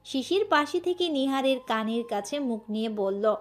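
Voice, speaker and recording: High-pitched woman narrating a story. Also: animated, moderate pace. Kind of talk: scripted romantic story narration. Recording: music bed under voice.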